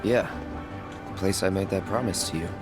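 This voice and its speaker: A man voicing an aloof young video game hero. He speaks in a low voice with few words, reserved and understated, over clearly audible music. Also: moderate pace, lightly acted. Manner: laconic, understated.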